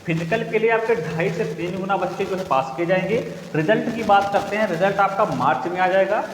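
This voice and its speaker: A young man teaching exam candidates in a high, bright-pitched voice. He loops back over every point and quizzes his listeners on what to remember. The sound is roomy and echoing, with faint ambience behind. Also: brisk, a little hiss or hum. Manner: repeats points as questions to the class. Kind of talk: repetitive classroom-style explanation. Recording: echoey room with long reverb, faint ambience.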